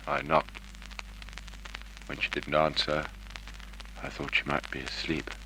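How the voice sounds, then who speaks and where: A male actor playing a shaken witness in an old radio drama, speaking in a hushed, halting way. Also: very deep voice, level tone. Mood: shocked, subdued. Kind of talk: 1950s radio drama acting. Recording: noisy vintage radio drama recording.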